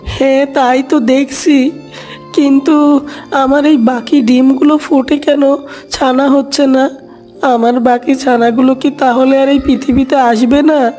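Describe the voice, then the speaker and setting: A woman's voice: high-pitched, steady tone, unhurried, mellow, faint music behind. A woman voicing a fretful, earnest mother duck in a cartoon, protective of her young.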